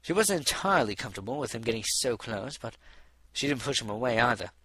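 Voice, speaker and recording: A man reads scripted fiction as an audiobook narrator. His voice sits at a middle pitch with a bright, forceful tone, and the sound is dry, with little trace of a room. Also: sing-song, quick pace, husky, no echo. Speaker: audiobook reader.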